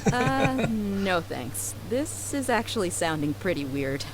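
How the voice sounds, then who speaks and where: A woman's voice: mid-range voice, very animated, slow, noisy background. A woman playing a frank, easygoing young woman with a casual, politely hesitant manner in an anime game. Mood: doubtful.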